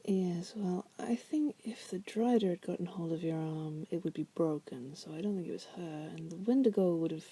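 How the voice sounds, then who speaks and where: A caged female demon, played by a woman in an ASMR roleplay. Her voice is very mellow and dark, with a husky breathiness that often slips into a whisper. She is teasing and condescending, a bored manipulator who coaxes and flirts. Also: on the low side, moderate pace, few pauses. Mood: musing. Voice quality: soft, breathy. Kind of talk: ASMR roleplay monologue to the listener.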